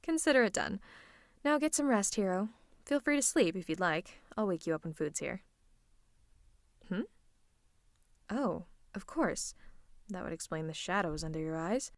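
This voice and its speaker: A woman's voice: lowish voice, expressive, dry recording, studio quality. A woman playing a teasing, theatrical supervillain who is fond of her nemesis, in an intimate ASMR roleplay. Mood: teasing, caring. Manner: coaxing, drawn-out endearments and monologues.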